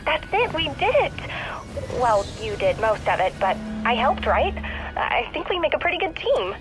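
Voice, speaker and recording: A woman's voice: high voice, sing-song, normal speed, over music, poor audio. A woman playing an eager, earnest teenage sidekick in a video game, chatty, casual and confiding. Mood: excited, admiring. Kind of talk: naturalistic video game voice acting.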